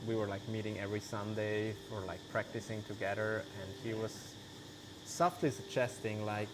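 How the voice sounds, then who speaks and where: A man, an English speaker, talking casually as an interview guest, loose and relaxed, full of "like" and "you know". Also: fairly low pitch, moderate pace, noisy background. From Switzerland. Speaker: guest, vegan cheese maker.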